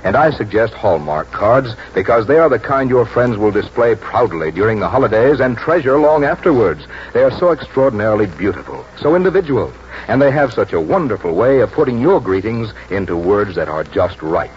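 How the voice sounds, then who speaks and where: Animated old-time radio announcer, a man. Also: fairly low pitch. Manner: polished, persuasive, deliberate diction. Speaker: radio announcer and sponsor pitchman. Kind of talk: 1940s scripted radio advertising announcing. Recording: muffled, noisy old 1940s radio broadcast.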